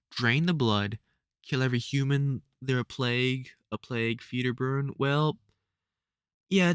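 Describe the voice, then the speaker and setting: A man with a British accent playing a caring veteran vampire hunter in an audio roleplay, halting and sweary, exasperated but gentle. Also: medium pitch, smooth, no room sound, studio quality. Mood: grim quoting, then gentle, probing. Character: gruff, caring veteran male vampire hunter, protective and worried. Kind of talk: audio roleplay, speaking to an unheard listener.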